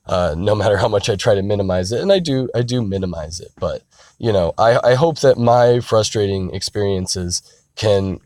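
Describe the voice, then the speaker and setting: A man in his thirties, hoarse and raspy from being sick, talking candidly and rambling earnestly from inside his parked car. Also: deep voice, darkish tone, dry recording, studio quality. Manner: rambling, earnest, frequent restarts and "you know". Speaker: artist vlogger. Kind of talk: candid casual talk to camera.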